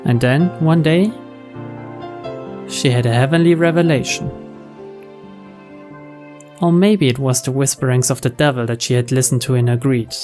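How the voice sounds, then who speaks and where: Story narrator over soft music. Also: medium pitch, fairly even intonation, normal speed, pauses often. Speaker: narrator reading the game's translated text. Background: background music.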